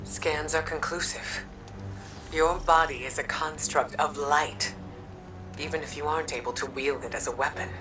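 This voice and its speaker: An actress plays a composed, earnest woman scholar with authority in a game cutscene. Her voice is extremely low and her delivery formal and measured. She sounds a bit off the mic, over prominent music. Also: fairly even intonation, far from the mic, lightly acted, poor audio. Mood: firm, earnest. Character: grave, commanding, composed female mystic scholar. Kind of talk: video game cutscene acting.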